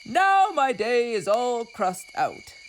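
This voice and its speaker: A woman's voice: fairly high pitch, sing-song, slow pace, slight background noise, pitched above the performer's own voice. A woman voicing an earnest, anxious male toad in a children's storybook, given to dramatic wailing. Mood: content. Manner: dramatic, emphatic, prone to wailing.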